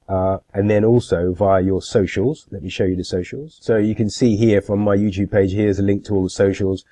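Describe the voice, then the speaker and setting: A man's voice: low-pitched, dark tone, no echo. A middle-aged man with a cold, giving a screen-share tutorial in a plain, matter-of-fact way with frequent "uh" fillers. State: has a cold, congested. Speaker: marketing YouTuber.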